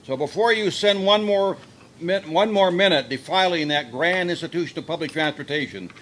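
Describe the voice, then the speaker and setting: A man's voice: fairly high pitch, moderate pace, fairly bright tone, close to an everyday voice. A man playing an earnest, pompously heroic bus police officer in a live comic radio play, stumbling a little as he reads. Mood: pompous, indignant. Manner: stumbling over lines while reading.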